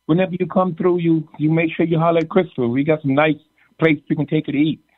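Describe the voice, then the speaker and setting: A man's voice: fairly high pitch, level tone, fast talker, no echo, narrow, tinny audio. A man from Jamaica co-hosting a faith podcast, joking and teasing in casual chat and often repeating his words. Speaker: podcast co-host.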